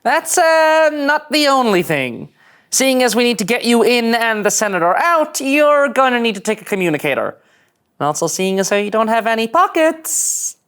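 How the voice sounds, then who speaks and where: A woman's voice: on the high side, sing-song, moderate pace, a bit bright. A woman playing a practical, wry young starship crew member in a sci-fi cartoon table read, matter-of-fact and slightly awkward.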